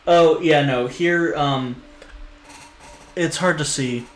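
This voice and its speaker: A man's voice: on the high side, slow pace. A man talking casually on a stream, hesitant and full of fillers and restarts. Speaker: lore streamer. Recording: echoey room with faint noise.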